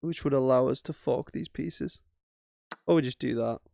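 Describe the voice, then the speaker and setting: A man's voice: medium pitch, moderate pace, creaky, mellow, no room sound, studio quality. A man casually commentating his own chess games as he plays, thinking aloud with self-mocking asides. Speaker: chess streamer.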